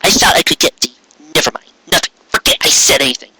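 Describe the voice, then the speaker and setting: A man's voice: a little high, quick pace, pushed and bright, breathy edge, heavily acted. A man voicing a young female unicorn magician who explains herself earnestly and defensively in a story.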